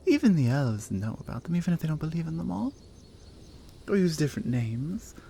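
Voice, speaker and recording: Woman acting a low, mellow Nordic warrior woman. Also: sing-song, vocal fry, a little hiss or hum, heavily acted. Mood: proud, earnest. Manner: playful, dry, conversational with pauses. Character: strong, teasing, skeptical female Nordic warrior. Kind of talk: ASMR roleplay audio drama.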